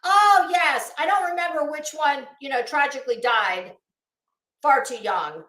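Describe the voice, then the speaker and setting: High-pitched, scornful American woman ranting. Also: unhurried, bright, forceful tone. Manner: scornful, sarcastic, emphatic with rhetorical questions. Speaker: online livestream host. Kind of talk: ranting livestream commentary, casual and profane. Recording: roomy, echoing sound.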